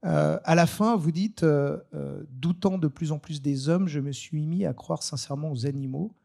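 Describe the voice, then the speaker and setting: Guy moderating a panel, kinda creaky, mellow, stops and starts a lot. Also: fairly high pitch. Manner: hesitant, halting. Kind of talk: informal live panel hosting.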